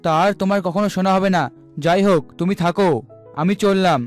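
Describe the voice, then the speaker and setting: A man's voice: high voice, fairly even intonation, fairly quick, faint music behind, close to an everyday voice. A man voicing a proud, bickering talking sun or moon in a cartoon fable. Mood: boastful bickering.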